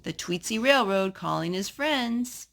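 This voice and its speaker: A woman's voice: medium pitch, expressive, measured pace, creaky, a bit bright. A woman hosting a children's storytime, reading picture books aloud and chatting with a young child through simple questions and asides. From North Carolina.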